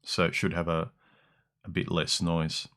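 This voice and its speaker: A man with an Australian accent hosting a casual livestream into a dynamic mic, with a deep, slightly harsh voice and rambling, restart-filled speech. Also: monotone, a little slow, fairly bright tone. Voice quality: deep voice with some harshness in the mids and lows. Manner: rambling, with frequent ums and restarts. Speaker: livestream host. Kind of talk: casual livestream chat reading comments. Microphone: dynamic broadcast mic.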